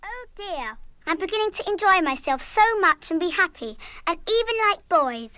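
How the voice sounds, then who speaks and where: A woman's voice: very high-pitched, big pitch swings, normal speed, bright, forceful tone, full character voice. An actress playing a headstrong, mischievous English schoolgirl in a radio play, plucky and well-spoken. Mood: scheming. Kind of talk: full-cast children's radio play acting.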